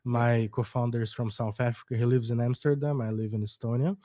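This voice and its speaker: A man's voice: medium pitch, steady tone, brisk, warm tone, dry recording, clean studio sound. A young adult man from Brazil with a Brazilian Portuguese accent, a startup co-founder explaining his company earnestly in a podcast interview.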